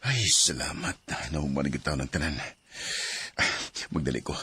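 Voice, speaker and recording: A man's voice: extremely low pitch, animated, normal speed, sharp tone, full character voice. A man acting a loving young father in an audio drama, sobbing in grief. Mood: casual. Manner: emotional, sobbing.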